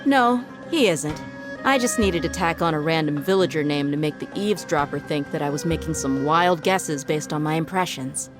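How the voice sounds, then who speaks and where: A woman voices a shrewd female mercenary in a game cutscene, her voice sitting on the low side. She speaks with wry, matter-of-fact confidence and full self-assurance, over soft background music. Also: moderate pace, lightly acted. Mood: confident, explaining. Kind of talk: video game cutscene voice acting.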